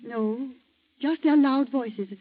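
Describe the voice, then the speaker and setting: A fretful, timid woman in a vintage radio thriller. Her high voice hesitates and worries over each phrase. The recording is thin and tinny, almost like a telephone. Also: slow pace. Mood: hesitant, reluctant. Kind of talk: 1940s radio acting. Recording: tinny, narrow-band 1940s radio recording.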